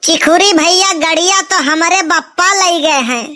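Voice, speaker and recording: Brief: male actor as grumbling, tight-fisted cartoon young man, very high bright voice, Awadhi accent. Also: a little slow, full character voice. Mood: evasive, grumbling. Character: stingy, grumbling young village man, evasive. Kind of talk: amateur comic cartoon dub in dialect.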